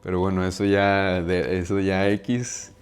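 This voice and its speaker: Mexican man with a lowish voice. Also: little pitch movement, measured pace, clear, resonant tone. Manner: conversational, often tags sentences with a questioning "right?", uses slang and swears. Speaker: self-improvement vlogger. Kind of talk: casual monologue to camera.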